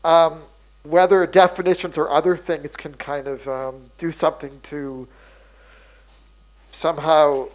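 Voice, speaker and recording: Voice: mid-range voice, rough recording. An audience member at an academic conference asks a hesitant question, full of ums and restarts. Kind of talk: academic panel discussion.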